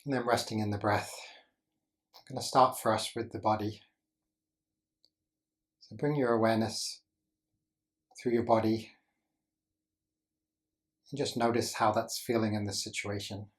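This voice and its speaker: A man with a low-pitched voice guides a meditation. His intonation stays flat and steady throughout. He gives gentle instructions as a teacher would, pausing deliberately between short phrases.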